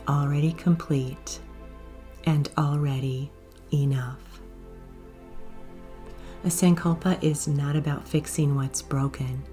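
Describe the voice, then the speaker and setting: Woman, guided meditation, dark warm tone, even and calm delivery, soft breathy endings, gentle, unhurried. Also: deep voice. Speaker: yoga nidra guide. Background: soft music.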